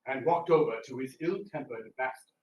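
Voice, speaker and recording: A man's voice: mid-range voice, lively intonation, moderate pace, dull audio. A man who works as an actor, co-presenting a scripted talk in a dramatic, declamatory manner. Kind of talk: theatrical scripted lecture. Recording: roomy, distant mic, faint noise.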